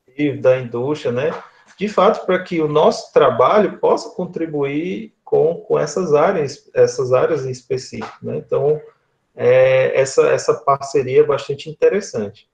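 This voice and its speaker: Northeast brazilian guy in his 30s explaining stuff on a call, gravelly voice, keeps tagging sentences, muffled audio. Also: a little high, moderate pace. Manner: explanatory, frequent tag questions seeking agreement. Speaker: guest speaker, lecturer. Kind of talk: conversational online talk. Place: small indoor room, video call. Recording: dull, lo-fi video call audio.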